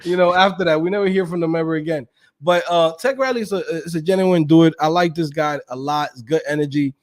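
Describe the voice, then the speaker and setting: A man with a high, bright-toned speaking voice, chatting loosely as a guest. He is hyped and slangy and breaks into laughter often. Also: quick pace. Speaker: guest, coding course creator. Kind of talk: casual podcast chat.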